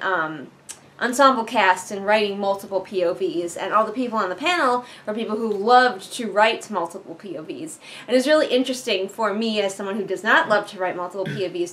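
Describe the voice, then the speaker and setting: A young American woman giving casual book commentary in a rambling, bluntly opinionated way, talking as a vlogger in a living room. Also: medium pitch. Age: young adult. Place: living room couch, indoors. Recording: background noise in a living room.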